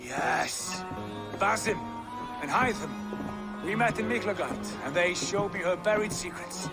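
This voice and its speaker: An actor playing a hearty, confident Viking prince home from voyaging in a video game, speaking grandly. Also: male voice, high voice, measured pace, gravelly, loud music behind the voice. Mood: proud. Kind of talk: dramatic video game acting.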